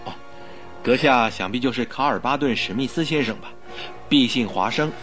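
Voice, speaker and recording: A loyal, slightly anxious doctor in a radio play, acted by a man. He has a medium-pitched voice and speaks with humble, earnest courtesy. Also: a little fast, fairly bright tone, soft background music, natural voice. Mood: polite. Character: polite, loyal male doctor, anxious and deferential. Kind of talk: Mandarin radio drama acting.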